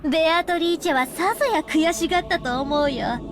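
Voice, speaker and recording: Gloating, high-pitched game woman. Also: rapid-fire. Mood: amused, gloating. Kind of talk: Japanese game voice acting. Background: game background music.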